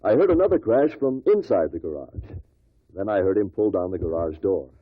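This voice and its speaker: An actor playing a guarded stockbroker who gives measured, matter-of-fact testimony in a 1950s radio drama. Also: male voice, fairly low pitch, expressive, breathy edge, no room sound. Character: guarded businessman, male stockbroker giving testimony. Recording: tinny, dry old radio recording.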